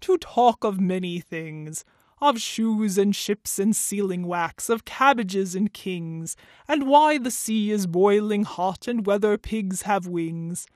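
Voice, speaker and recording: Woman acting a storybook walrus, pompous, smooth-talking, unhurried drawl, lowered pitch, close dry sound, theatrical delivery. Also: a little mellow, no room sound, close to an everyday voice. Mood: grandly oratorical. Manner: slow, drawn-out, grandly theatrical speech. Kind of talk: storybook verse character voice.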